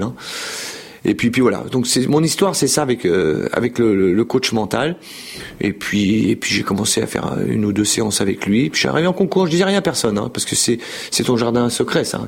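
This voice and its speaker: A man's voice: lowish voice, gravelly, breathy edge. A man in his fifties telling his story in a casual interview, rambling with frequent repeated words and fillers. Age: 50s. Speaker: show jumping rider.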